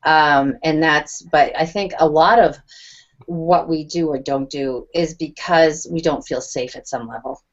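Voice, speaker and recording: Woman, low pitch, earnest and searching, muffled call audio. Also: a little slow. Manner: earnest, searching, many restarts. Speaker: guest speaker on EFT tapping. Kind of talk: casual online panel chat. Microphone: video call. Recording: dull lo-fi video call audio.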